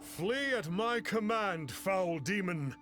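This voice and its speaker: A man's voice: high voice, animated, slow, music in the background. A man voicing a pompous, bumbling young fake exorcist in a video game, speaking in a grandiose, archaic manner. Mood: commanding, flustered. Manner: grandiose, archaic, calls himself "one".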